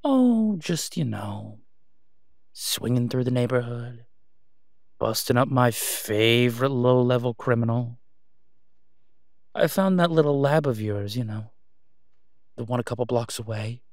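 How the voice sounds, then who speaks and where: A man's voice: medium pitch, animated, unhurried, pauses often, dry recording. A man playing a brash, sarcastic masked vigilante with dry, taunting banter in an audio roleplay. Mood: mocking.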